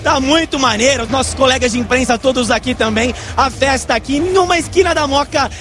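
A man reporting live from an outdoor street party, upbeat and quick. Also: very high-pitched, a bit bright. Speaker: field reporter.

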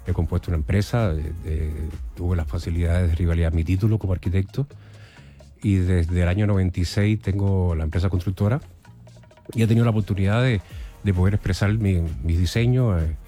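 A man's voice: low voice, fairly even intonation, a little fast, mellow, faint music behind. A man in his fifties from Cuba with a Cuban accent, a guest architect in a radio interview who talks with many fillers and restarts. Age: 50s.

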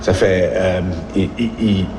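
Casting: man, fifties, Acadian accent, reflective and halting, slightly deep voice, very reverberant room. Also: steady tone, darkish tone. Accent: Acadian French of Prince Edward Island. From Evangeline region, Prince Edward Island, Canada. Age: 50s. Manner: reflective, halting, rephrases as he goes. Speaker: school board employee, former principal. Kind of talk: informal speech at a community discussion. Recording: echoey hall, faint background noise.

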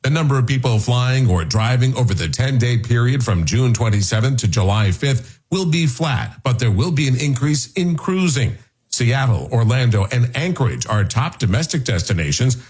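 A man delivering a radio news report in a brisk, neutral way. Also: mid-range voice, animated, a little mellow, breathy edge.

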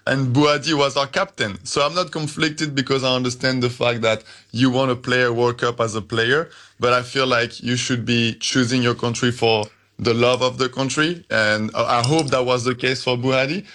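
A man's voice: mid-range voice, fairly even intonation, normal speed, a bit bright. A man talking casually as a football supporter and guest in a live interview.

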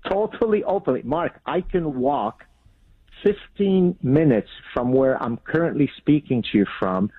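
A man from Lebanon whose first language is Arabic, a guest arguing his points in an emphatic, fast-flowing way. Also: on the high side, dry recording. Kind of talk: remote interview, argumentative commentary. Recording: tinny phone line.

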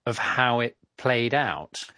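A man's voice: mid-range voice, lively intonation, slow pace, sharp tone, no echo. A man co-hosting a podcast, asking the guest curious, guiding questions. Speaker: podcast co-host.

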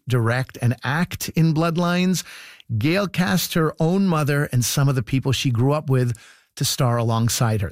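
A male radio host delivers a scripted introduction in a conversational manner, addressing the listener directly. His voice sits at an ordinary pitch for a man and is recorded very dry, with no room sound. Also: dry recording.

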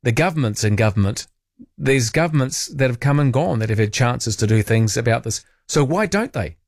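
A man's voice: medium pitch, expressive, normal speed, no room sound, studio quality. Casual, wry New Zealand man hosting talk radio. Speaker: late-night talk radio host. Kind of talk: phone-in radio chat and commentary.

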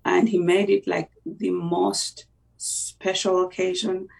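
Gravelly voice, halting. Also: medium pitch, little pitch movement, darkish tone, far from the mic, poor audio. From Uganda. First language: Luganda. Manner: reflective, halting, with many fillers and restarts. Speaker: interview guest. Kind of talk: personal faith testimony in conversation.

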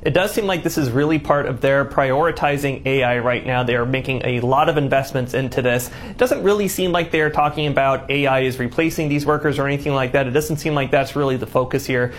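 A man answering podcast interview questions as an analyst, in a steady, explanatory way. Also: mid-range voice, fast, few pauses, slight background noise. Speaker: analyst guest.

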